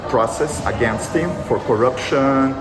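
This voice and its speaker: A man's voice: medium pitch, measured pace, loud music behind the voice. A man from southern Brazil with a Brazilian Portuguese accent, answering a street interview in English, hesitant and earnest, with many fillers and restarts. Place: outdoor polling site in a busy city crowd. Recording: echoey street with loud crowd.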